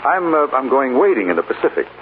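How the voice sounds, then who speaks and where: An actor playing a troubled, self-mocking, tender husband in a 1940s radio drama, hesitant and wry. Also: male voice, medium pitch, big pitch swings, quick pace, natural voice. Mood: wry, playful. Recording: scratchy, tinny 1940s radio broadcast.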